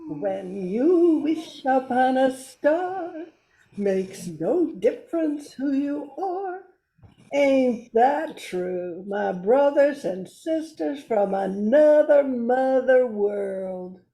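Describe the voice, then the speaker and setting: Hippie reindeer for Christmas radio play woman performer: spacey, very slow drawl, soft-edged tone, echoey room. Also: high voice, lively intonation, heavily acted. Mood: dreamy, mellow. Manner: drawling, dreamy surfer-dude delivery. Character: laid-back, spacey hippie surfer reindeer.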